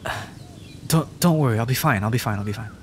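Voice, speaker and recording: A man's voice: medium pitch, fast, slight background noise, natural voice. A man playing a nervous, adorkable young dragon, injured and frightened, stammering and apologetic in an ASMR fantasy roleplay. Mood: hushed, worried. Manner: stammering, hesitant, rambling, apologetic. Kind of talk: ASMR roleplay audio drama.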